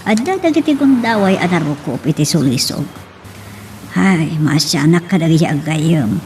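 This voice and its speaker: A woman's voice: deep voice, animated, normal speed, a little mellow, soft background music. A woman acting an earnest, distressed, pleading woman in an Ilocano romance radio drama. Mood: sorrowful, concerned.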